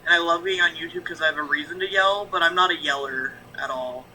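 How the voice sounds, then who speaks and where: A man with a high, very bright and sharp voice, chatting frankly as a guest on a stream. He rambles and circles back on himself. Faint room ambience hums behind him. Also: fast talker, a little hiss or hum, poor audio. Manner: rambling, frank, lots of fillers and restarts. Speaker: guest, YouTube reactor. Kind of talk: casual stream chat.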